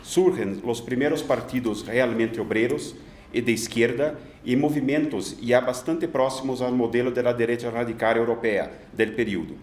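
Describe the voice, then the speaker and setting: A man whose first language is Portuguese, speaking Spanish with a Brazilian Portuguese accent, hesitantly reading an academic conference paper with many pauses and fillers. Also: medium pitch, a little mellow, reverberant, a little hiss or hum. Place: conference hall.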